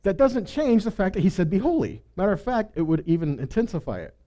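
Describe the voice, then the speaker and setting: Animated, high-pitched man preaching. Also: quick pace. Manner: animated, rhetorical questions and check-in tags like "right?". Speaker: preacher teaching a congregation. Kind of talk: conversational sermon teaching.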